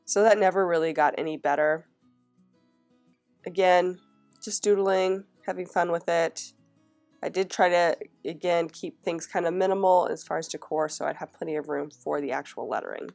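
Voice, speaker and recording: A woman's voice: fairly low pitch, normal speed, gravelly, darkish tone, faint music behind. A woman narrating a casual flip-through of her planner, her throat scratchy from a cold, chatting along with fillers and restarts. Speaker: planner vlogger.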